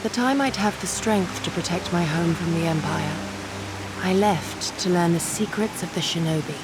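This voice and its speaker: An actress voicing an earnest, solemn young shinobi woman in a video game, speaking formally and with measured care. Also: lowish voice, very noisy, natural voice. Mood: reflective. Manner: formal, measured, theatrical speech. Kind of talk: dramatic video game acting.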